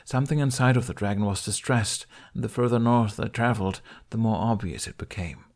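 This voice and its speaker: Low-voiced male audiobook narrator. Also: a little fast. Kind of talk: expressive scripted fiction narration.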